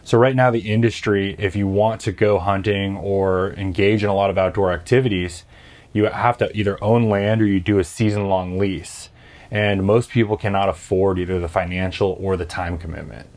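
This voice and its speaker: Friendly low-voiced man guest. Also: steady tone, moderate pace, darkish tone. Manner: friendly, hesitant repeats, explaining. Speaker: company co-founder, guest. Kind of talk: casual interview.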